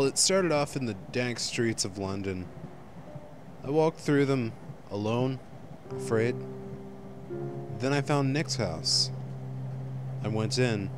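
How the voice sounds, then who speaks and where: Amateur radio play role: actor as practical young man, dry and impatient, lowish voice over music.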